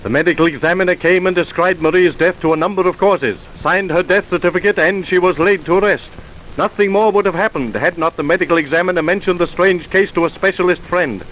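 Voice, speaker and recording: An actor playing a grave, emphatic narrator of an old radio mystery, formal and declamatory. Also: male voice, high voice, brisk, a bit bright. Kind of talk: vintage scripted radio narration. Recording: noisy vintage radio recording.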